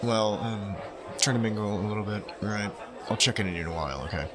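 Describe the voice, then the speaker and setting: A man's voice: on the low side, quick pace, over music, crowd noise, close to an everyday voice. A man playing a snippy, sarcastic young woman in a fantasy game, casual and brusque in manner. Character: snippy, gossipy young woman devoted to a priest.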